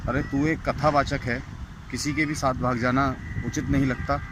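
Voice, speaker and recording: A man's voice: medium pitch, flat delivery, moderate pace. An indignant man giving an informal, scolding opinion rant as a commentator on a recorded call. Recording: very noisy phone call recording outdoors, vehicles.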